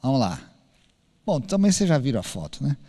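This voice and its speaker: A man preaching a sermon, telling a story in an animated way and acting out the dialogue. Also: medium pitch, fairly quick. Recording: long hall reverb.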